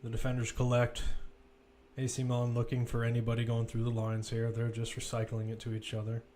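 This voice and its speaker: Male, football commentator, live play-by-play, mid-pitched voice, clipped running phrases, present-tense description. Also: monotone, brisk, mellow, a little hiss or hum.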